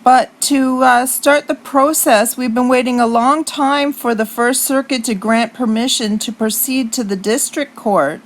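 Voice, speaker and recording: Higher-voiced woman leaving a halting, polite message. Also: steady tone. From Texas. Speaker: caller leaving a voicemail for a court. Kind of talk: semi-scripted phone message.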